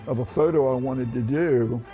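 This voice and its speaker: A man's voice: mid-range voice, moderate pace, muffled recording, soft background music. A man in his seventies from Texas, a veteran photographer reminiscing in an interview. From Texas, United States. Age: 70s.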